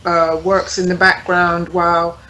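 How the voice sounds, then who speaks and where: Woman, radio panelist, lowish pitch, polite, hesitant, casual talk. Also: level tone, measured pace, bright, forceful tone, dull audio. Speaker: panelist reading chat comments.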